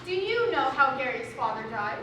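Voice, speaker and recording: A woman acting a gushing, excitable new mother in a stage comedy, theatrical and exclamatory, recorded in an echoey room. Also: high-pitched, normal speed, far from the mic, slight background noise, exaggerated voice. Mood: prying, mock sympathy.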